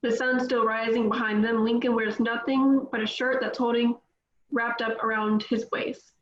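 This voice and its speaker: A woman's voice: on the high side, little pitch movement, moderate pace. A woman reading scripted stage directions as narrator at a table read, in roomy, slightly dull audio.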